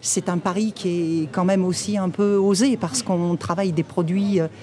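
A woman's voice: on the low side, moderate pace, warm tone. A middle-aged woman, co-manager of a restaurant and the mother of the pair, chatting easily in a radio interview on an outdoor terrace. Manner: chatty, addresses host with "si tu veux". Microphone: table mic on stand.

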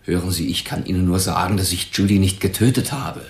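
A young businessman suspect in a crime radio play. He is defensive and emphatic, with a low voice in a roomy acoustic. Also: brisk, vocal fry. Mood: insistent. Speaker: actor in a radio drama.

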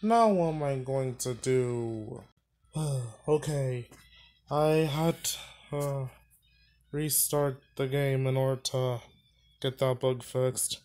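A man's voice: mid-range voice, slow pace, darkish tone. A man giving casual let's-play commentary, thinking aloud, muttering and sighing as he plays. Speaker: gaming channel host. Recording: faint game music behind.

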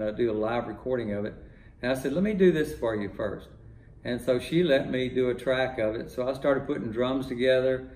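An older man from Texas, a music producer talking in a relaxed, rambling way full of fillers, in his home studio. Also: mid-range voice, echoey room. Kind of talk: casual interview talk.

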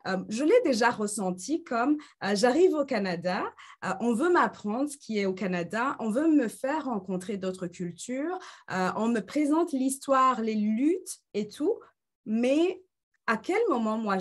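A woman's voice: on the high side, sing-song, unhurried. A woman in her thirties moderating an online conference discussion, hesitating often with fillers, speaking into a webcam on a video call. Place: indoors in front of a green screen.

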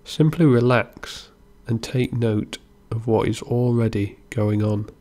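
A man gently guiding a meditation as an instructor, in an unhurried way with pauses between instructions. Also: medium pitch. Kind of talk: guided body scan meditation narration.

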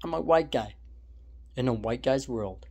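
Slow, rambling young man on his phone. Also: medium pitch, a little mellow, breathy edge. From California, USA. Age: young adult. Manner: rambling, self-correcting, opinionated. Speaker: vlogger. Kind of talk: casual opinion monologue. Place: indoors, small room at home. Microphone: phone held at arm's length, selfie framing.

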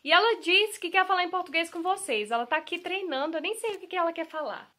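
A woman's voice: very high voice, animated, fast talker. A woman in her thirties chatting to her phone camera at home, friendly and talkative with frequent laughs. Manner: chatty, warm and affectionate, laughs often. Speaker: vlogger. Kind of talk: casual vlog chat to camera. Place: bright room at home, indoors. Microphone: phone camera held at arm's length.

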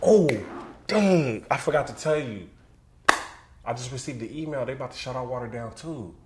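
An actor playing a teasing, sarcastic young boyfriend in a naturalistic comedy skit, with an American accent. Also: male voice, mid-range voice, animated, moderate pace, very noisy, natural voice. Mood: easygoing. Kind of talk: comedy skit acting, naturalistic couple banter. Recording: echoey room, faint music.

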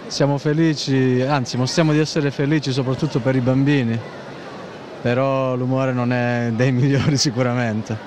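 A man's voice: mid-range voice, little pitch movement, moderate pace. A man casually answering interview questions into a handheld microphone in a shopping mall. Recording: noisy shopping mall ambience.

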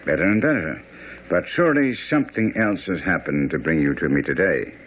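An actor playing a brisk, self-assured Victorian gentleman detective in a radio drama, formal and commanding. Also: low voice, lively intonation. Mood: keen, brisk. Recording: tinny old radio broadcast, faint noise.

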